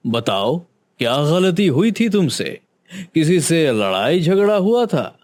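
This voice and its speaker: A man's voice: a little high, sing-song, slow, a little mellow. A man voicing a solemn male doctor giving grim advice in a Hindi cartoon. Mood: grave. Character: grave male village doctor.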